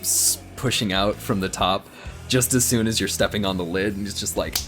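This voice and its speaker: A man improvising a story as a tabletop player, casual and halting, often repeating himself. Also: lowish voice, fairly bright tone, faint music behind.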